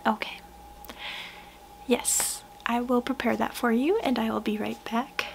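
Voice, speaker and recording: A young woman speaks in a high, hushed voice at a very unhurried pace, as in an ASMR roleplay. Her gentle delivery often drops into a whisper. A noticeable low noise sits behind her. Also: steady tone, creaky, rough recording. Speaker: ASMR roleplayer playing a portrait painter. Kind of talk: soft-spoken ASMR roleplay. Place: small wood-panelled room indoors.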